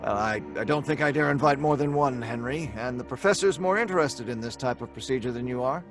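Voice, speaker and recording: A male actor plays an obsessed young man of science in an old-fashioned radio play. His mid-pitched voice is earnest, telling a grim tale. Music plays underneath. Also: fairly quick, lightly acted. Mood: earnest, affectionate. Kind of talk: old-time radio drama acting with narration.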